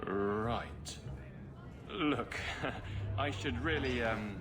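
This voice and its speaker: A courteous male guardsman in a video game. His mid-pitched voice is reserved and plain-spoken, with a puzzled hesitancy. Music is clearly heard underneath. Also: very slow. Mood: awkward. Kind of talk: video game cutscene acting.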